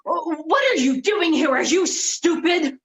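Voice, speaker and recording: Woman acting scornful scheming female, high voice with big swoops, deliberate pace, staged comedy. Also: animated, a bit bright, muffled recording, exaggerated voice. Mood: exasperated, scornful. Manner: condescending, emphatic. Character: exasperated, scornful, scheming woman berating her dim partner.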